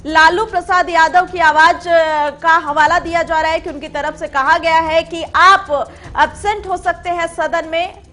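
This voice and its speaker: A woman's voice: very high voice, flat delivery, measured pace, sharp tone. A woman presenting live news as an anchor, emphatic and repetitive in her phrasing.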